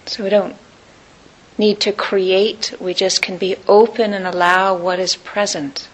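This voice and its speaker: A woman leads a guided meditation at a middling pitch. She speaks as a teacher, in short, unhurried phrases. Long pauses separate her instructions. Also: level tone, poor audio.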